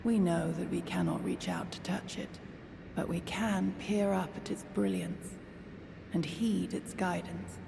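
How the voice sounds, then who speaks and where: Gravelly, aloof, devoted swordswoman. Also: on the low side, measured pace, big-room sound, noisy background. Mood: reserved, reverent. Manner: reserved, formal, reverent. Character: aloof, devoted swordswoman serving a revered count. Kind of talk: scripted video game drama, formal speech.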